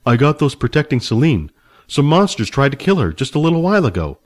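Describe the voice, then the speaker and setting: A man's voice: medium pitch, very animated, fast talker, pitched above the performer's own voice. A man doing an earnest young barbarian. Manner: plain, earnest and straightforward. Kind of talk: storybook reading.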